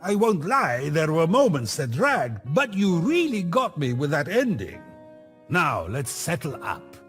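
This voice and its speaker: A man's voice: fairly high pitch, wildly swooping pitch, unhurried, fairly bright tone, pitched above the performer's own voice, full character voice. A man speaking a short dialogue clip, heard from a distance with music behind. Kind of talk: quoted game character dialogue.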